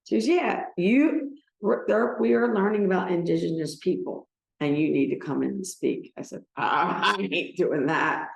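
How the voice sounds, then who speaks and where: An older American woman giving a personal talk to a gathering, speaking in a halting, emotional way. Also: lowish voice, gravelly. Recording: roomy, echoing sound.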